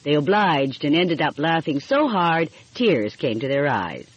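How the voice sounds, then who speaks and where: A woman with a husky voice reading a mystery story aloud as scripted audiobook narration. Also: sits low, very animated, a little slow, muffled recording.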